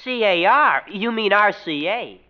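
A muffled vintage radio recording of a boyish American teenager. His voice jumps around in pitch, skeptical and a little whiny, in family situation-comedy style. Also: lively intonation, a little slow. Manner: boyish, complaining. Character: skeptical, gee-whiz teenage son of the family.

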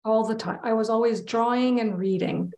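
A woman's voice: on the high side, moderate pace, warm tone. A woman from Canada with a Canadian accent, talking casually and candidly as an interview guest. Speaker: interview guest, children's book illustrator. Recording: echoey, roomy sound.

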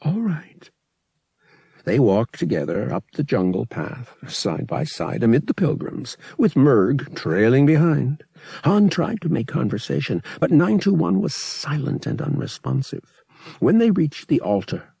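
Male audiobook narrator, very raspy, gravelly edge, scripted fiction storytelling, unhurried. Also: medium pitch, warm tone, no room sound, clean studio sound.

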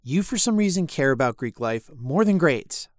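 A man's voice: a little high, animated, moderate pace, no room sound, clean studio sound, close to an everyday voice. A young man acting as an uptight, studious college roommate in an audio drama, curt, sardonic and exasperated. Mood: irritated, stern. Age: young adult.

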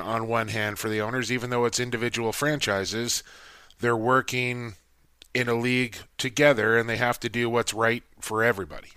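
A man's voice: mid-range voice, bright, forceful tone, clean tone, no echo. A man hosting a sports talk show, chatting casually and teasing his guest. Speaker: podcast host.

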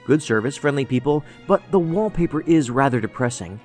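A man's voice: medium pitch, lively intonation, brisk, a little mellow, faint music behind, natural voice. Rambling, quirky male agent, acted by a man. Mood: musing, chatty. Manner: rambling, pedantic, matter-of-fact. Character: quirky, rambling, tactless male federal agent, overanalytical oddball. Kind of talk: audio drama acting.

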